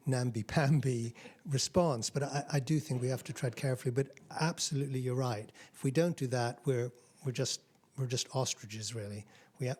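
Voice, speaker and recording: Man, panel speaker, measured, hedging, mid pitch. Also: darkish tone. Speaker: panelist researcher. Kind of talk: panel discussion answer.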